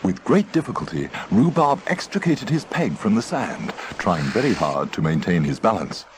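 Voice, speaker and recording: A man narrating a children's story in an expressive, playful manner. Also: deep voice, moderate pace. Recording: faint noisy old tape transfer.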